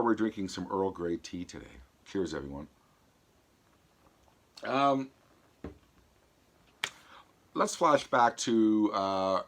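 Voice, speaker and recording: A man with a low voice speaks to his viewers in a casual vlog style. His throat is sore from an infection, so the voice fades in and out. He talks haltingly, pausing as he demonstrates and explains. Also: fairly bright tone. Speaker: vlog host. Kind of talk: casual product demonstration to camera.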